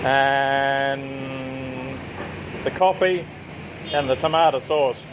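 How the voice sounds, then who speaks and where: A man's voice: mid-range voice, slow pace, noisy background. A man from New Zealand with a New Zealand and Australian accent, chatting casually through a restaurant tour as a travel vlogger, repeating himself for emphasis. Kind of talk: casual restaurant walkthrough vlog. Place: outdoor riverside cafe with traffic nearby.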